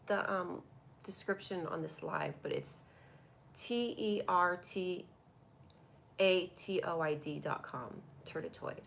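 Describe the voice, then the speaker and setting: A woman's voice: lowish voice, vocal fry, a little hiss or hum. A woman with a cold and a stuffy voice, hosting a comedy live stream and chatting through viewer comments on a tablet. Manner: chatty and rambling, reacting to comments. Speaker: comedy show host doing a live stream.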